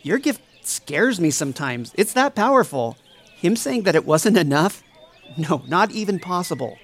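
A man acting in an audio drama as a caring, excitable and devoted young friend, earnest and expressive. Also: high-pitched, normal speed, exaggerated voice. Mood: distressed, apologetic.

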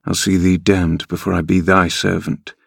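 A man's voice: fairly low pitch, lively intonation, slow, no room sound. Guy doing a grumpy uncouth farm boy in an audiobook, curt, close dry sound. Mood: angry, surly. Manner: curt, gruff muttering. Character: surly, bashful young farm lad, rough and uncouth.

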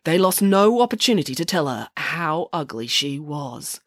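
A woman's voice: deep voice, animated, normal speed, dry recording, clean studio sound. A woman voicing a firm, theatrical nanny telling the children a fairy tale. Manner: firm, matter-of-fact storyteller. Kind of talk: audiobook character voice.

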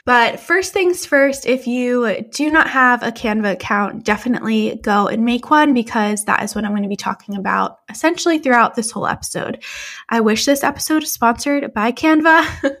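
A woman's voice: a little high, normal speed, a bit bright. Chatty woman podcast host with a cold. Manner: chatty and upbeat, laughs often. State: has a cold. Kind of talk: casual podcast talk.

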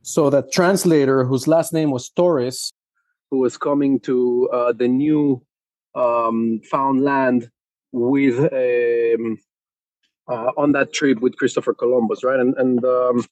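A man's voice: medium pitch, fairly even intonation, slow, darkish tone, no room sound, poor audio. Male guest, hesitant storyteller, very dry close sound. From Mexico. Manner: hesitant, many fillers and restarts. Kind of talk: conversational interview.

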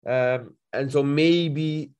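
A man's voice: a little high, steady tone, drawn-out words, a little mellow, clean tone. Casual man in his thirties hosting. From Hungary. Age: 30s. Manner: casual, encouraging backchannels. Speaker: podcast host. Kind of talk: podcast interview.

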